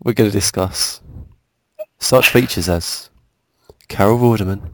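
Low-voiced man hosting a podcast. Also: measured pace, a bit bright, a little hiss or hum, rough recording. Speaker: podcast host. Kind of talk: casual joking podcast chat.

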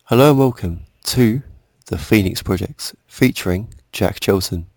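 A man's voice: fairly low pitch, measured pace, darkish tone, no echo. A man hosting a podcast in a casual, joking chat style. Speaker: podcast host.

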